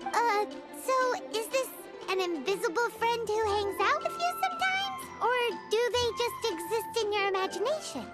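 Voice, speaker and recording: A woman voicing a tiny, excitable, childlike fairy companion girl in a video game, nosy and blunt, with a squeaky voice. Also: normal speed, loud music behind the voice. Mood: excited, curious. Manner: exclamatory, talks about self in third person. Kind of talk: video game character dialogue.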